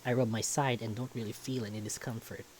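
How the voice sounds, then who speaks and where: A man's voice: mid-range voice, steady tone, fast talker, darkish tone, slight background noise, poor audio. A man reading a visual novel aloud as first-person story narration.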